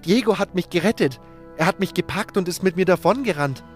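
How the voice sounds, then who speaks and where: A man's voice: high-pitched, faint music behind, voice pushed up, natural voice. A man voicing a young male mage in an audiobook, panicked and grief-stricken, stammering as he speaks. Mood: shaken, anxious. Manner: stammering, hysterical, halting. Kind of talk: audiobook character acting.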